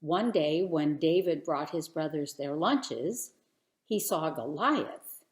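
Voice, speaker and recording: Slow, dramatic woman storyteller. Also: deep voice, roomy sound. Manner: expressive, theatrical, deliberate. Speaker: children's storyteller. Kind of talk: dramatic bedtime story narration.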